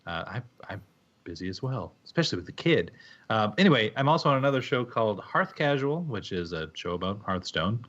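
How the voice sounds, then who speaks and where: A man co-hosting a podcast in a low, easygoing voice. He talks slowly and casually, pausing with ums and restarting his phrases. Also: dull audio. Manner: relaxed, hesitant, lots of ums. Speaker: podcast co-host.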